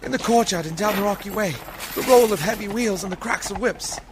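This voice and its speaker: A man's voice: high voice, a little fast, background noise. An actor playing a terrified young Englishman, a captive close to madness, giving fraught dramatic speech in a radio horror play. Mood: dread. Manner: fraught, dramatic diary narration.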